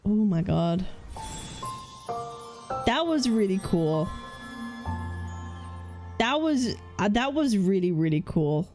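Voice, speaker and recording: A woman game streamer giving casual, excitable reaction commentary in informal, slangy speech. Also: mid-range voice, slow pace, long pauses. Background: faint game music.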